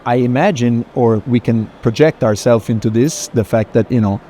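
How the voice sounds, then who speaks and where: A man hosting an unscripted walking conversation, reflective and halting, often repeating and rephrasing his words. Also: medium pitch, mellow. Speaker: moderator, host of the walking conversation. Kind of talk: unscripted interview on a tour. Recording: faint urban background noise.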